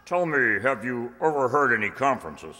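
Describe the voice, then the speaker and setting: An actor playing a stuffy, suspicious army general with a clipped, pompous manner in a radio play. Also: male voice, mid-range voice, very animated, normal speed, sharp tone. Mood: suspicious, stern. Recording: echoey hall with long reverb.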